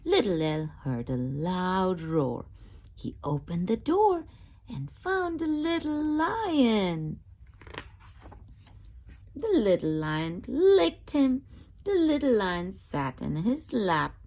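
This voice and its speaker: A woman reading a storybook aloud for young children, expressive and inviting, often putting questions to her listeners. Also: mid-range voice, takes it slowly, vocal fry. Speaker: children's read-aloud channel host.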